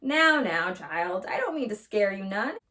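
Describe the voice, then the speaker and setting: A woman's voice: mid-range voice, sing-song, a little slow, bright, forceful tone. A woman voicing a sly, hungry old male weasel in a storybook, oily, folksy and menacing. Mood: sly menace.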